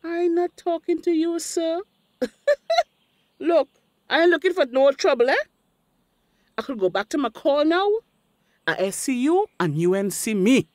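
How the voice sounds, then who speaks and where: Older female busybody auntie, Caribbean accent, high swooping voice, scolding and indignant, radio play. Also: expressive, a little slow, pauses often, darkish tone, no echo, clean studio sound. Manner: scolding, colloquial Creole. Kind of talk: radio drama dialogue.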